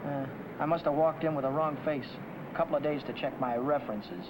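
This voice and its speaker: Actor as hard-pressed young American mechanic, slangy and clipped, higher-set male voice, vintage noir film with clear noise. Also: fairly quick, close to an everyday voice. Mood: worried, brooding. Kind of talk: 1950 film noir acting and voice-over. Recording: noisy old film soundtrack.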